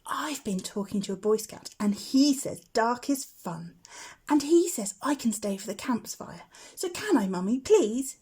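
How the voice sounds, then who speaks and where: A woman's voice: fairly high pitch, big pitch swings, measured pace, darkish tone, slightly rough, heavily acted. A woman voicing a timid but eager young boy barn owl, with an earnest, childlike, questioning manner.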